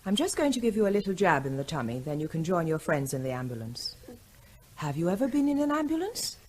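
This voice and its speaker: An actress playing a calm woman doctor who reassures a bitten child in an old radio drama. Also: lowish voice, fast talker, slight background noise. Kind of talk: 1970s radio drama acting.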